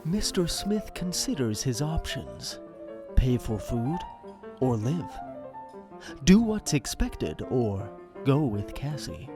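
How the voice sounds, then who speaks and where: Male narrator for audio drama, medium pitch, scripted dramatic read over music. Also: big pitch swings, slow.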